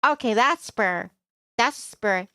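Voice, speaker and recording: A woman's voice: mid-range voice, expressive, takes it slowly, fairly bright tone, smooth, no room sound, clean studio sound. A woman streamer reading a visual novel aloud, mixing narration with casual asides. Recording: music behind the voice.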